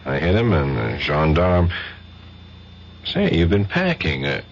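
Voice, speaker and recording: Dogged government agent, American, deep and dryly sardonic, old radio thriller sound with dulled highs. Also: male voice, wildly swooping pitch, a little slow, slightly rough, heavily acted. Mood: wry, suspicious. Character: dogged, wry American government investigator, man. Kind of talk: 1970s radio drama acting. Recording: dull, noisy old radio broadcast recording.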